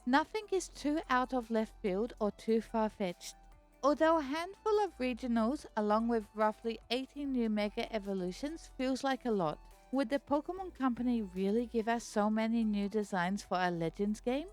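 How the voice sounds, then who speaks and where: A woman hosting her own video, reading a scripted explanation in a measured, explanatory way. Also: on the high side, animated, darkish tone, faint music behind. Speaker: video host. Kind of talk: scripted narration.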